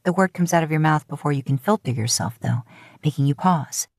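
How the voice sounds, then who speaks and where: Low, slightly husky woman narrating romance. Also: brisk, darkish tone, no echo. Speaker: podfic narrator. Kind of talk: scripted second-person romantic story narration.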